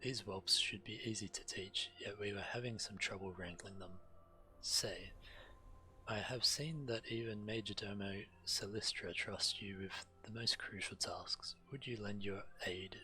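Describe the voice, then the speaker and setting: A man's voice: on the low side, steady tone, measured pace, pushed and bright, a little hiss or hum, rough recording. A man streaming a video game, commenting on play and reading quest text aloud.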